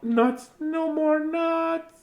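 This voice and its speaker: A woman's voice: high voice, extremely slow, muffled recording, background noise, pitched above the performer's own voice. A woman voicing a dramatic squirrel who is fed up with nuts, in a singsong, wailing way. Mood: exasperated.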